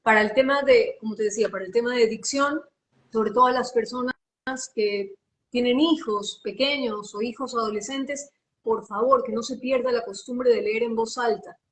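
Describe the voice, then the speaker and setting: Woman, voice-over artist, slightly high voice with a light sing-song lilt, emphatic and teacherly, heard over a video call. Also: moderate pace, a bit bright, low-quality recording. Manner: emphatic, teacherly, repeats phrases. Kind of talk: live interview, giving advice.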